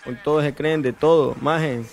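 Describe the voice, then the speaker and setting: A man's voice: a little high, steady tone, normal speed, vocal fry. Laughing man in mocking banter.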